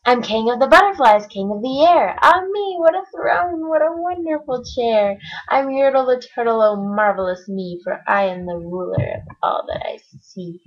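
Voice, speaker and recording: A woman voicing a boastful, bossy male turtle king who shouts his commands. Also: mid-range voice, normal speed, dull audio, natural voice.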